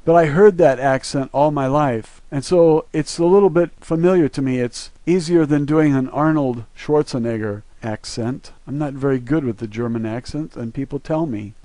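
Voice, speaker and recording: A man's voice: medium pitch, moderate pace, few pauses, dark tone, no echo. An American man delivering an earnest, preachy solo monologue to his listeners, stressing his points like someone urging people to act. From United States. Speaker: video creator and presenter. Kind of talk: solo monologue, part reading a list, part commentary.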